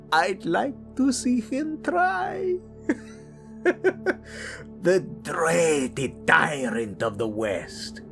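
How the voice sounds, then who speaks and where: A man playing a cruel, scornful, power-hungry older royal father in an audio drama, with a gravelly, sneering, theatrical voice. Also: sits high, heavily acted. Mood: amused menace. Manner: slow, sneering, theatrical swooping delivery. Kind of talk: audio drama villain acting.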